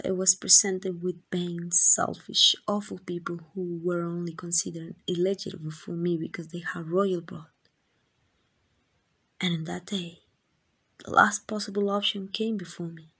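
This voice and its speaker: A woman with a Mexican accent playing a tender, devoted queen in a romantic ASMR roleplay, speaking in gentle, intimate, breathy whispers. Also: on the low side, fairly even intonation, a little slow, full character voice. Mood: tender, wistful. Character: tender, devoted queen in secret love with a commoner.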